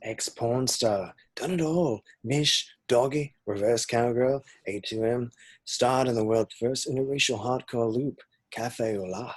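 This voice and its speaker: A man's voice: medium pitch, measured pace, breathy edge. A man playing a crude, cheerful young slacker in an amateur comedy table read, with sweary, matey banter. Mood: mischievous, boastful. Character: crude, cheerful, loyal young male slacker best mate. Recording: home-recorded remote read.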